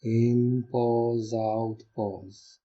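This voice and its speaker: Male meditation guide, mellow and husky, gentle instructional delivery with pauses, lo-fi muffled sound. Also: medium pitch, flat delivery. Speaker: meditation teacher.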